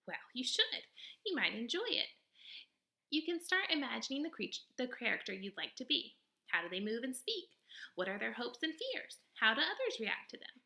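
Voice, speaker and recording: A woman's voice: on the high side, very animated, normal speed, pushed and bright, pitched above the performer's own voice, heavily acted. A woman voicing a chatty female possum in a storybook, dramatic, boastful and easily startled. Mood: enthusiastic. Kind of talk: storybook character read-aloud.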